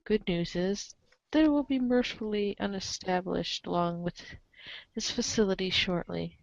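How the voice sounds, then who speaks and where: A woman narrating a comic story aloud in an expressive read-aloud style, on a slightly dull recording. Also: medium pitch, moderate pace, vocal fry, dry recording. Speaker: narrator of a group webcomic reading.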